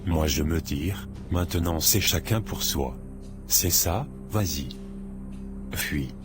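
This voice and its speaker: Un homme qui double un petit malfrat de dessin animé, affolé quand il est acculé. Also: male voice, deep voice, expressive, takes it slowly. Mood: panicked. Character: adult male small-time criminal, cornered and panicky, later repentant. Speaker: cartoon character. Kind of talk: animated drama dubbing. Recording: music playing behind the voice.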